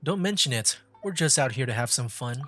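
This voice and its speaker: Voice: mid-range voice, lively intonation, a little fast, fairly bright tone. A streamer chatting casually over a game and reading dialogue options aloud, laid-back and conversational. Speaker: streamer, VTuber playing a game. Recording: game music under the voice. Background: game background music.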